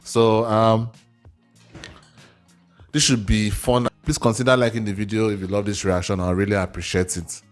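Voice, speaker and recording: A man's voice: fairly low pitch, level tone, moderate pace, pauses often, faint music behind. A man from Africa with an African accent giving casual reaction commentary, thinking aloud with hesitant, repeated phrases. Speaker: reaction video host.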